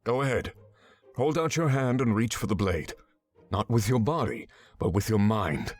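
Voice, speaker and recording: An actor playing a stern, exacting swordsman mentor in a fantasy visual novel game, giving measured, commanding instruction. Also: lowish voice, very animated, a little mellow. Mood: measured, guiding. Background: background music.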